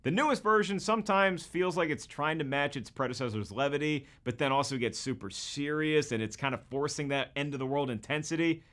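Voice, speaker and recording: A man hosting a show, delivering scripted entertainment commentary in an animated, emphatic manner. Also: on the high side, brisk, few pauses. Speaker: show host.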